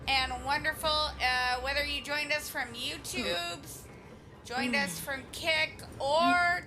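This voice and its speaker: Female livestreamer, casual gameplay chat, high voice, slow easy pace, game music clearly behind. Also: poor audio. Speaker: game streamer.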